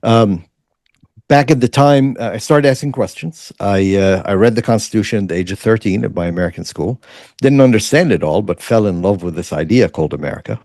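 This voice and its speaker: A man's voice: lowish voice, fairly quick, darkish tone, no room sound, studio quality. A man, telling his story earnestly as an interview guest, with many fillers. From Lebanon. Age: 50s. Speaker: guest, former state legislator.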